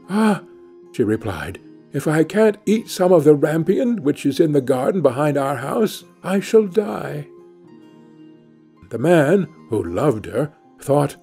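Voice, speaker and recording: A man reading a fairy tale as scripted narration, in a measured, deliberate storytelling manner. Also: medium pitch, warm tone, husky. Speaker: audiobook reader. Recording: music under the voice.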